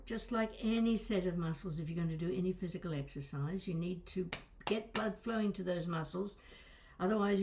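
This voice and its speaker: A woman's voice: on the low side, dark tone. An older woman voice coach giving an informal lesson, conversational and digressive with wry asides, with a slight crackle and small breaks in her voice. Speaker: voice coach and presenter. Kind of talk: informal instructional talk to camera.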